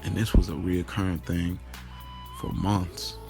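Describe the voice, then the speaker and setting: A man's voice: sits low, steady tone, a little slow. A man playing a streetwise young man who tells his love affair in candid, slangy first-person drama narration, with music behind him. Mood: candid, animated. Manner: colloquial, slangy storytelling.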